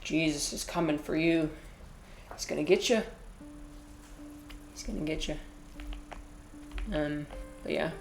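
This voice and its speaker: A woman's voice: sits low, fairly even intonation, measured pace, pauses often, a little mellow, faint music behind. A woman in her twenties chatting and giggling as she streams a video game, rambling casually. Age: 20s. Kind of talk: casual gameplay commentary. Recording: echoey room with faint noise.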